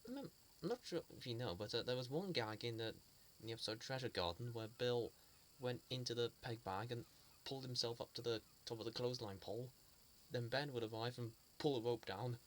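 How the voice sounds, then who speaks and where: A man fondly recalling a children's show he loves, speaking in an earnest, halting way with frequent restarts and repeated words. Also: medium pitch, slight background noise. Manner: earnest and fond. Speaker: fan sharing memories. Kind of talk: casual spoken reminiscence.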